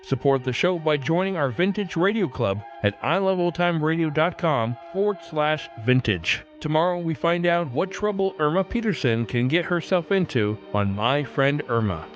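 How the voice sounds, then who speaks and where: A male podcast host reads scripted narration. His voice sits fairly high and moves with very lively intonation, over faint music. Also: moderate pace, hardly any pauses, highs cut off.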